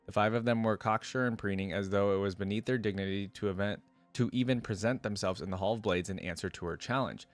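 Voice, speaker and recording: A man's voice: lowish voice, fast, faint music behind. A man hosting a reaction video, reading a story aloud and commenting in an informal, slangy way.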